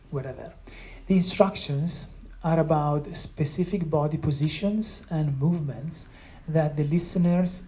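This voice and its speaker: A sound artist, not a native English speaker, explaining their own piece with many pauses and restarts. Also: mid-range voice, vocal fry, mellow. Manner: hesitant, many restarts and fillers. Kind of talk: explaining own work in a conversation.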